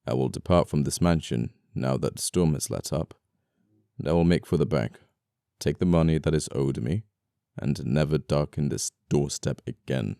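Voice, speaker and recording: A man acting as an amoral but apologetic, flirty murderer in an ASMR audio roleplay, speaking with unhurried, polite menace. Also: extremely low pitch, vocal fry, mellow, clean tone, dry recording, studio quality. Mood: calm threat.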